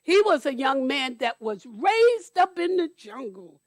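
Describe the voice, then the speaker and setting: A woman storyteller reading aloud to children at church, deliberately, with explanatory asides. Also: high-pitched, very animated, no room sound, studio quality. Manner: deliberate, explains details to young listeners.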